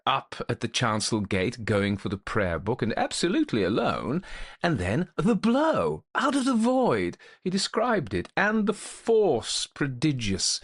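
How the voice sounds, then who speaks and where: A man playing a gentlemanly, methodical investigator of hauntings, recounting his case in a formal, old-fashioned storytelling manner. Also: mid-range voice. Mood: dramatic, intense. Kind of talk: dramatic first-person storytelling, old-fashioned ghost story.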